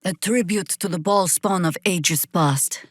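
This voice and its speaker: A weary older-ish warrior druid lady for a video game, lowish voice, super slow, dry sarcastic wit. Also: dry recording. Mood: wry, measured. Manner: dry, sardonic, measured. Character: seasoned, wry, world-weary female Harper warrior druid. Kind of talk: dramatic video game character dialogue.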